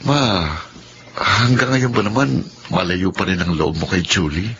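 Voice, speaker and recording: A man's voice: sits low, expressive, measured pace, a bit bright. A man playing a patient, earnest young husband and respectful son in a Filipino radio drama. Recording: dull lo-fi radio recording, faint noise.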